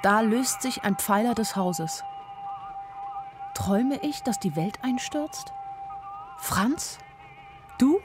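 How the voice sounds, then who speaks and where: A woman's voice: fairly high pitch, lively intonation, slow, pauses often. An actress playing a weary, lovesick young woman who narrates a radio play in an introspective, lyrical way. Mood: weary wonder. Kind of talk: literary first-person radio play narration. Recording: studio radio play with faint music.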